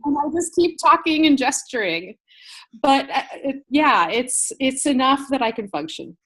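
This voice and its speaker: An American woman speaks as a podcast guest, a gardening blogger. Her voice sits a bit high, and she talks in an easy, chatty way with small laughs. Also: expressive, a little slow, fairly bright tone, breathy edge, rough recording. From United States. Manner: chatty storyteller, light laughs. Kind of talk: casual interview chat.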